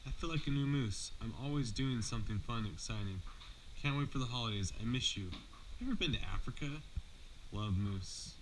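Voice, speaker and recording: A man's voice: medium pitch, a little fast, background noise, lightly acted. A man voicing a mild-mannered moose while reading a children's storybook aloud. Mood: cheerful. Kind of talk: bedtime storybook read-aloud.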